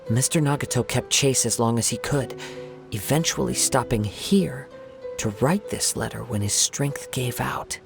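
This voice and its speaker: A composed young detective in a game who lays out his deductions step by step. His mid-pitched voice carries a slight gravelly edge and stays even and analytical. Faint game music runs underneath. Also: unhurried. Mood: calm. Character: calm, sharp young male detective.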